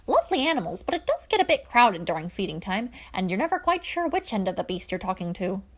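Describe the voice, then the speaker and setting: A woman's voice: medium pitch, very animated, fast. A woman voicing a whimsical, eccentric alien man who declaims in a jaunty manner.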